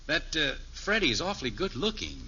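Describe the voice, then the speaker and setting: A man's voice: fairly high pitch, sing-song, a little fast, pushed and bright, slightly rough, full character voice. An actor playing a fretful, overprotective middle-aged American father in 1950s radio sitcom style, wry, exasperated and prone to hemming and hawing. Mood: worried, flustered. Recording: muffled, noisy old radio recording.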